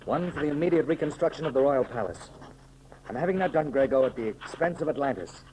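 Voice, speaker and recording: Guy doing bold commanding radio serial hero, kinda high voice, declamatory, old hissy recording. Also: expressive, quick pace, warm tone, natural voice, rough recording. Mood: brisk, composed. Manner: declamatory, decisive. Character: bold, commanding young hero turned emperor of Atlantis. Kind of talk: 1930s radio serial acting.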